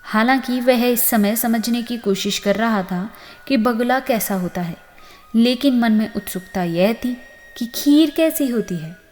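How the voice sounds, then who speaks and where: A woman narrating a scripted moral story as host, with an expressive storytelling delivery. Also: fairly high pitch, unhurried. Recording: long reverb on the voice.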